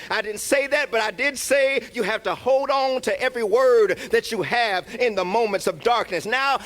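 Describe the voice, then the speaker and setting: A man's voice: very high-pitched, a bit bright. A man in his thirties giving a motivational address to graduates, hollering emphatically with great confidence. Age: 30s. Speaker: commencement speaker.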